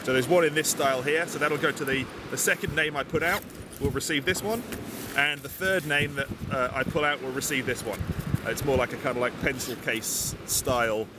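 A man in his forties, from England, chatting casually outdoors on a beach, relaxed with lots of ums and uhs. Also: medium pitch, brisk. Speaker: bushcraft vlogger. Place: outdoors on a sunny beach, windy. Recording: loud wind and sea noise.

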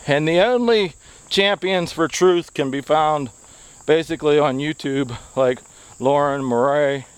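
A middle-aged American man speaking earnestly and haltingly as he walks outdoors, giving an opinionated vlog monologue into a handheld camera. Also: fairly high pitch, clean tone. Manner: earnest, halting phrasing delivered while walking. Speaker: video blogger, activist. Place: outdoors on a wooded country road, walking. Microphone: handheld camera at arm's length.

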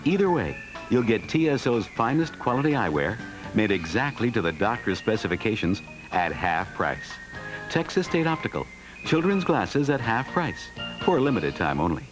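A man's voice: medium pitch, very animated, normal speed, music in the background. A man reading a scripted advertising voice-over as a commercial announcer.

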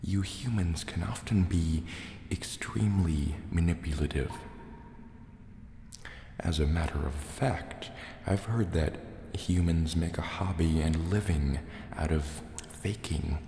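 A man voicing a wary, brooding sea serpent dragon in a soft-spoken ASMR roleplay, speaking hesitantly in formal words. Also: a bass voice, heavily acted. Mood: wary, curious. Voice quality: gravelly, softly spoken. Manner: slow, hesitant, formal wording. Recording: cavernous echo, long reverb, faint water noise.

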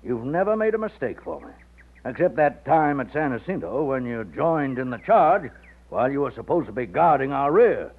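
An actor playing a gruff, commanding American colonel of fifty in an old-time radio drama, bossy and blustery but fond. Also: male voice, mid-range voice, big pitch swings. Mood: fond, persuasive. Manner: blustery, bossy, drawn-out exclamations. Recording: muffled, phone-like old radio recording.

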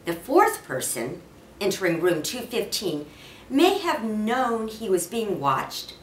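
Female storybook reader, sixties, unhurried and animated, recorded in a roomy space. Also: mid-range voice. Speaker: mayor reading aloud. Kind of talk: expressive children's storybook reading. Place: indoor office or lounge. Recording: roomy, distant mic with faint noise.

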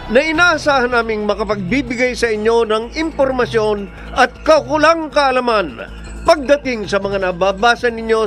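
A man's voice: very high voice, a bit bright. A man with a Filipino accent delivering a dramatic scripted introduction as a radio drama announcer and host. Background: music.

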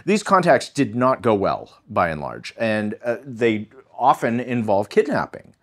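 A man's voice: fairly low pitch, big pitch swings, a little slow, fairly bright tone. A man speaking as a history professor in an interview, explaining in an emphatic, articulate, scholarly way. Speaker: history professor, podcast guest.

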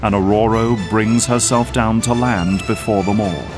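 A man with a lowish voice narrates an action audio drama. His scripted storytelling runs over prominent music. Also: fairly even intonation, normal speed.

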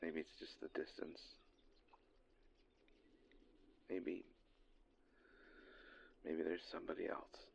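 A man performing an intimate audio roleplay as a lovesick, insecure boyfriend, halting and breathy with a gravelly voice, often sinking into whispers. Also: deep voice, completely flat delivery, highs cut off, slight background noise, full character voice. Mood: wistful. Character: lovesick, insecure boyfriend longing for his distant partner. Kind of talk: scripted intimate romantic monologue.

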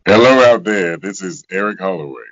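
A middle-aged American man with a very deep bass voice, chatting casually as an interview guest on a video call. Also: animated, brisk. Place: small room at home, indoors. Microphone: video call, close to device. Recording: dull lo-fi video call audio.

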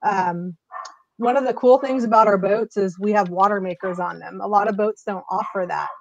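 A woman's voice: mid-range voice, moderate pace, gravelly, a crowd in the background. A woman in her thirties, a charter agent, chatting casually and upbeat on a live video call over earbuds from a small home office. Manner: chatty, upbeat, many fillers. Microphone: wired earbuds on a video call. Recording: slightly muffled video call audio.

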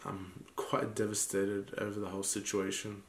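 A young man with a stuffy, congested voice, explaining his hobby work to camera in a relaxed, casual vlog. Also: fairly low pitch, moderate pace, echoey room, background noise. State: congested from a cold. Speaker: hobby watchmaker vlogger. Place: home workshop, indoors.

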